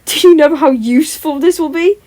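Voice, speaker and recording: An eager young boy, played by a woman, bubbling with giddy excitement in a story reading. The voice is raised and carefree. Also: sits high, a little fast, pitched above the performer's own voice, natural voice. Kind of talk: podfic character reading.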